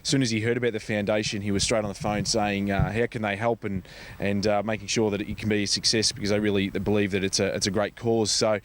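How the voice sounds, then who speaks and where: Low-voiced Australian man in an interview. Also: little pitch movement, slight background noise. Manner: halting, repeats words as he speaks. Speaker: charity foundation representative being interviewed. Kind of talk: conversational interview answers.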